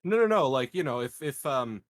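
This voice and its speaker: A man's voice: mid-range voice, expressive, moderate pace, no echo, clean studio sound. A man playing a tabletop roleplaying game, chatting and improvising jokes, often repeating words as he stammers. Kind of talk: casual joking improv chat.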